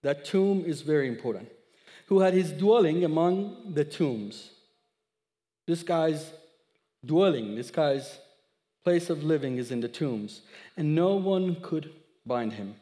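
A man in his thirties from Iran, speaking English with an Iranian accent, giving an expressive sermon that mixes scripture with his own testimony. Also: on the high side, slow, pauses often, long reverb tail. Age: 30s. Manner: expressive storyteller, acts out dialogue, audience laughter. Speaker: guest speaker.

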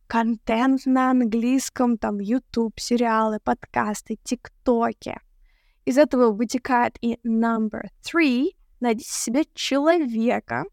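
A woman's voice: a little high, a little mellow, no echo, studio quality. A young woman hosting a casual podcast as an English teacher, chatty and mixing English words into her talk.

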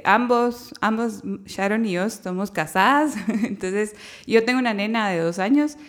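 Woman hosting a faith podcast. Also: medium pitch. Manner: hesitant, many fillers and restarts. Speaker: podcast host.

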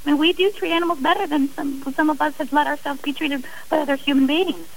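A woman's voice: high-pitched, steady tone, fast, poor audio. A middle-aged woman speaking as an interview guest, earnest and emphatic in a conversational way. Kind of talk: personal account in a radio interview.

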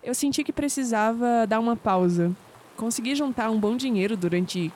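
An actress playing a guarded, teasing young woman researcher with a Brazilian accent in an audio drama, quick with sceptical retorts. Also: fairly high pitch, darkish tone, slight background noise, close to an everyday voice. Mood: reflective.

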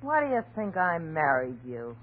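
An American actress playing a drunken, taunting, spiteful young wife in a slangy, theatrical 1930s radio drama style. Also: female voice, low-pitched, sing-song, moderate pace, husky, full character voice. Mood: bitter contempt. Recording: scratchy, tinny 1930s radio broadcast.

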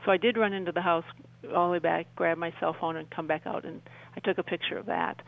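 An older woman, around her sixties, talking as an interview guest in a halting, rambling way and often rephrasing mid-sentence. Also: on the low side, fairly even intonation, creaky, low-quality recording. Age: older, about 60s. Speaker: interview guest, UFO experiencer. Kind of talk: conversational radio interview storytelling.

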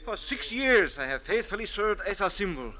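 An actor playing a proud little sand diviner in 1940s radio drama style, formal and aggrieved. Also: male voice, sits high, sing-song, moderate pace, bright, forceful tone, exaggerated voice, low-quality recording.

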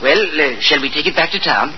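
A man's voice: fairly high pitch, lively intonation, a little fast, natural voice. An actor playing a nervous, apologetic man confessing a cover-up, urgent and hesitant, in 1940s radio style. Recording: muffled, noisy old radio recording.

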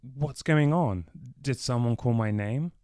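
A man's voice: mid-range voice, measured pace, a little mellow, no echo, studio quality, natural voice. A man voicing a groggy young man, just woken, who stammers and fumbles his words. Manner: stammering, flustered.

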